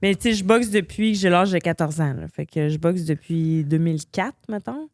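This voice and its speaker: A woman's voice: on the low side, a little fast, a little mellow, no room sound, clean studio sound. A woman in her thirties with a Québécois French accent, a boxer chatting as a podcast guest with many fillers. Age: 30s. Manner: chatty, frequent fillers, laughs easily. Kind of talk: casual conversation.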